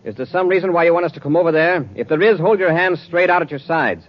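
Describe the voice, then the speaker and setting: An actor playing a decisive space patrol commander in a clipped, commanding 1950s radio drama style. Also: male voice, a little high, fast, dry recording, lightly acted. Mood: focused, brisk. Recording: muffled, noisy old radio recording.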